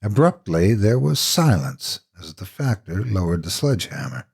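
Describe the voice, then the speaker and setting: A man narrating a scripted horror story in a theatrical, deliberate and ominous manner. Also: lowish voice, big pitch swings, vocal fry, a little mellow, slightly rough.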